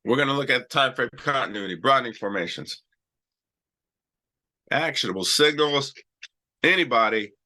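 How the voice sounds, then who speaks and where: A man's voice: mid-range voice, animated, normal speed, pauses often, pushed and bright. Chatty male trading host with a cold. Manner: loose and chatty, many restarts and "all right"s. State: has a cold. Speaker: stock trading show host. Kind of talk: casual live-stream chart talk.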